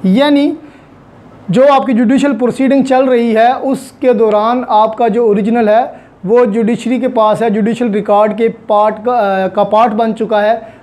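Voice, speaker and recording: Mellow-voiced Pakistani woman lecturing. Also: on the high side. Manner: didactic, mixes in English legal terms. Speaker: law lecturer. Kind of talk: explanatory online lecture with everyday examples.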